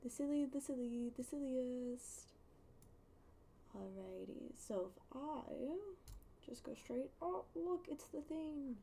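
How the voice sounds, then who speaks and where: A woman's voice: sits high, slow, vocal fry, warm tone, a little hiss or hum. A woman livestreaming a game, chatting casually to viewers in a playful, rambling, silly way. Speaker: livestreamer, host of a game stream.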